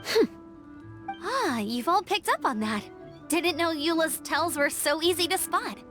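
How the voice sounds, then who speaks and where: Bright-spirited, high-voiced young scout girl. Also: lively intonation, unhurried, soft background music, full character voice. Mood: amused. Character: bubbly, loyal young female scout, eager and friendly. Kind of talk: anime video game voice acting.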